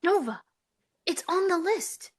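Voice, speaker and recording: A woman playing a bold, persuasive millennial twin in a comedy table read, brisk and self-assured. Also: very high-pitched, lively intonation, full character voice. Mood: impatient.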